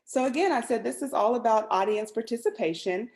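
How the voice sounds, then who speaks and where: A woman moderator with a mellow, warm tone hands the floor to others softly and graciously. She keeps her turns short and polite. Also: on the high side, animated, a little fast. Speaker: panel moderator. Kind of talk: moderating an online panel.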